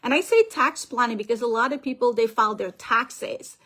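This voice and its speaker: Upbeat woman, higher voice. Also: moderate pace. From Guyana. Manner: lively, keeps checking in with the listeners. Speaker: financial planner and author. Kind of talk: motivational finance talk. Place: on a call.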